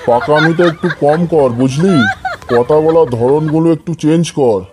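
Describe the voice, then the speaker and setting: A man's voice: a little high, moderate pace, natural voice. A man playing an exasperated cartoon schoolteacher, music behind. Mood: exasperated scolding. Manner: scolding, threatening, theatrical. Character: exasperated male village schoolteacher scolding cheeky pupils. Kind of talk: comedy cartoon dialogue in Bengali.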